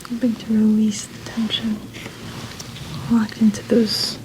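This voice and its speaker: A woman whispering softly and slowly in a soothing ASMR medical roleplay, as a chiropractor tending a client. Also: a little high, completely flat delivery, noisy background. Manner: soft, slow, soothing whispering. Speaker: ASMR performer playing a chiropractor.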